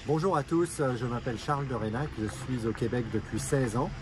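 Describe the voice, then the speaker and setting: A man from Alsace answering interview questions thoughtfully, pausing with hesitations. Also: mid-range voice, distant mic, over loud music. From Alsace, France. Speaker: interviewee, park operations director.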